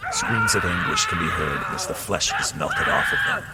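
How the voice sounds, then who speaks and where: A man narrating a horror audio drama in the second person with steady, measured storytelling. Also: fairly low pitch. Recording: loud music score under narration.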